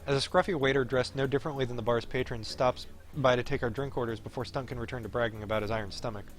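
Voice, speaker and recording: A man's voice: medium pitch, steady tone, quick pace. A man streaming a visual novel, reading the story aloud as live commentary. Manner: puts on voices for the characters. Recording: music behind the voice.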